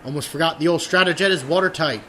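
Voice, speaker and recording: Practical hero, male actor: forceful bright tone, slightly high, brisk, light music behind. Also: slight background noise. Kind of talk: superhero audio drama acting.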